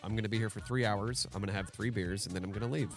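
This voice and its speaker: A man's voice: fairly low pitch, rapid-fire, soft background music. A man co-hosting a podcast, talking easily over beers while congested. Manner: easygoing, agreeable. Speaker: podcast co-host. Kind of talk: casual chat over beers.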